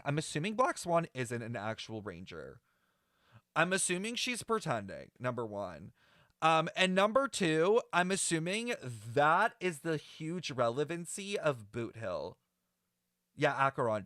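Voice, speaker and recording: A man giving casual, rambling reaction commentary in a chatty, slangy, excitable way. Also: on the high side, dry recording, studio quality. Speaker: reaction streamer.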